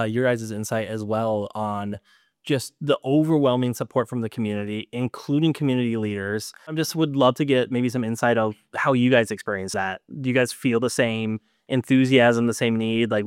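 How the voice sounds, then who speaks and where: A man's voice: mid-range voice, fairly quick, smooth, dry recording, studio quality. A man hosting a panel discussion, asking long questions with a wry edge. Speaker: host, moderator.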